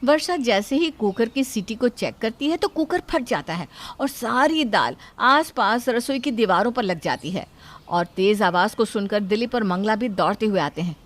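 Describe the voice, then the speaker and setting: A woman narrates a scripted moral tale. Her voice is high, and she tells it as a storyteller between lines of dialogue. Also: lively intonation, moderate pace.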